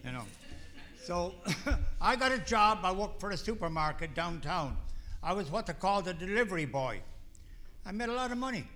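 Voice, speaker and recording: A man's voice: high-pitched, sing-song, moderate pace, pushed and bright. An older man from Ireland with an Irish accent, telling his own recovery story to a meeting in a folksy, rambling way. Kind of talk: personal testimony talk.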